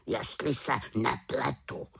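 A woman voicing a grave male talking raven in a storybook, croaky, drawn-out and reproachful. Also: very deep voice, big pitch swings, exaggerated voice. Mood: offended, sarcastic.

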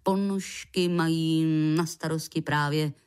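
A woman voicing a pompous male creature ambassador in a storybook, slow and hesitant, with hemming pauses. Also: deep voice, fairly even intonation, smooth, natural voice. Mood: patient.

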